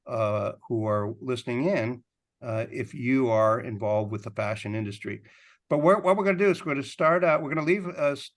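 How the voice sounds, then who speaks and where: A man moderating a video-call panel, who speaks haltingly with many fillers and restarts. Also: medium pitch. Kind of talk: hesitant spoken introduction.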